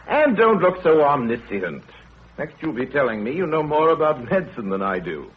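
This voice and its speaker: A tinny vintage radio broadcast carries a haughty, middle-aged country doctor. His husky voice rises and falls freely, dry and sardonic in the manner of old radio drama. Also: fairly high pitch, very animated, normal speed. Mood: indignant, scornful. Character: dry, pompous, irritable English village doctor, middle-aged man. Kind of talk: 1930s radio acting.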